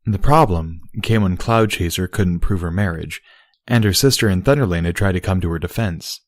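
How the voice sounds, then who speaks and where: A man's voice: sits low, normal speed, no echo, clean studio sound. A man reading a scripted fantasy story as its narrator. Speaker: audiobook narrator.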